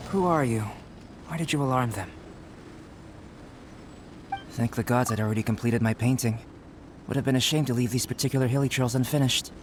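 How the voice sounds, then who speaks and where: A man playing a calm, detached young scholar and alchemist in a video game, speaking in a measured, formal, analytical way. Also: mid-range voice, noisy background, lightly acted. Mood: mildly annoyed.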